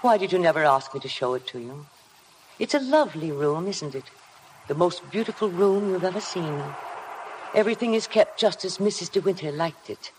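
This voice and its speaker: A woman's voice: lowish voice, expressive, moderate pace, a little mellow, lightly acted. Female actor as sinister housekeeper, old-time radio play. Insinuating, formal, hypnotic; slightly noisy vintage recording. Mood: eerie, insinuating. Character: sinister, obsessive housekeeper, coldly devoted to her dead mistress. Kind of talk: 1950s radio drama acting. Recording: vintage 1950 radio broadcast, faint noise.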